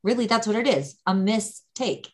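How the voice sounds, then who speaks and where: A woman's voice: mid-range voice, animated, moderate pace, slight background noise. A woman teaching Torah, explaining ideas patiently in a conversational way with frequent restarts. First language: English.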